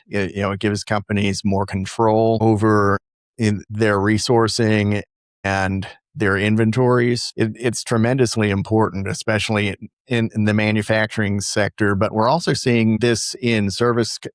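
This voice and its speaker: A low, flat-voiced man speaks as a business consultant guest. He answers in a measured way, with frequent you-knows and false starts. The sound is dry and close. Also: dry recording. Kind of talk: interview answers.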